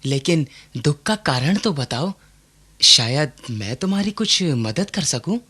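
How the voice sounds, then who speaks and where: Caring young guy friend in radio drama, slow and solicitous, very expressive pitch. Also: breathy edge, heavily acted. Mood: concerned. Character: concerned, kindly young male friend. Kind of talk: Hindi radio play acting.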